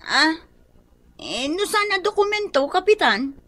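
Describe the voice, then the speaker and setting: A woman's voice: very high-pitched, very animated, unhurried, sharp tone, heavily acted. A woman with a Filipino accent playing an eager, easily flustered village secretary in a radio drama.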